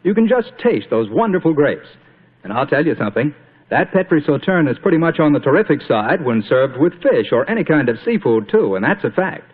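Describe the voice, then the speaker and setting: Animated American old-time radio announcer man. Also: on the high side, fairly quick, husky. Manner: chummy, folksy salesman. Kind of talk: 1940s radio sponsor announcing. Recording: muffled, narrow 1940s radio recording.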